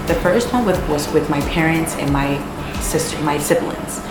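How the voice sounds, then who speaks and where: A woman's voice: low-pitched, expressive, normal speed. A young Ghanaian woman, a native Twi speaker, chatting casually to camera in a vlog and often checking in with "okay?". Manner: chatty, keeps asking "okay?". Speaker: vlogger. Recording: echoey room with loud air conditioning hum.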